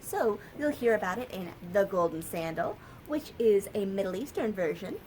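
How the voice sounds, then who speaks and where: An American woman, a children's librarian, telling a story and sharing books for kids in a lively, sing-song way. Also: mid-range voice, moderate pace, slight background noise.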